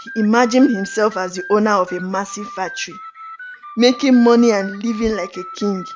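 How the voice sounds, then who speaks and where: A woman telling a folktale in an expressive, deliberate, dramatic narration. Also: medium pitch. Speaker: storyteller. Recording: dull lo-fi audio under music. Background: clear flute music.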